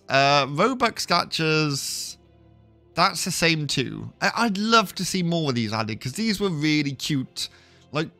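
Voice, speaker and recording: A man's voice: fairly high pitch, a bit bright. A man hosting a casual gameplay video, chatty and self-deprecating, thinking aloud, still getting over a cough. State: recovering from a cough. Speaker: gaming video host.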